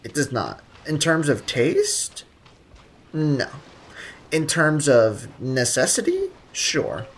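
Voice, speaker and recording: A man's voice: fairly high pitch, wildly swooping pitch, slow pace, slightly rough. A man live streaming a video game, chatting casually with viewers in a slangy, joking, rambling way.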